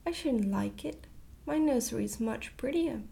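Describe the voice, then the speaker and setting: A woman voicing a curious, eager little princess girl in a soft ASMR storybook reading. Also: on the high side, lively intonation, moderate pace, creaky. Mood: inquisitive. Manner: inquisitive, eager questioning. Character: curious little princess, a bright, direct child.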